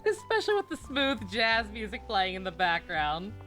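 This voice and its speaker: Bright-voiced, chatty woman streamer. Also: medium pitch, big pitch swings, moderate pace, faint music behind. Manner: laid-back, wry, chatty. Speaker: game streamer. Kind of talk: casual livestream chat and commentary.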